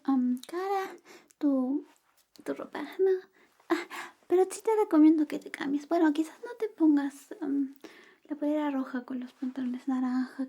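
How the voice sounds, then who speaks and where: A woman whispering softly and gently in an ASMR roleplay, playing a friend who grooms you. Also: high-pitched. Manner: soft whispering, close and gentle.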